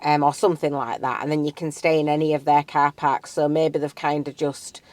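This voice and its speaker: A young woman with a low voice talks on a travel vlog while nursing a cold. She rambles along chattily, filling gaps with ums. The sound is close and dry, with no room around it. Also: steady tone, fairly quick, a little mellow, no room sound. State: has a cold. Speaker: vlogger. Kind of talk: casual travel vlog chat.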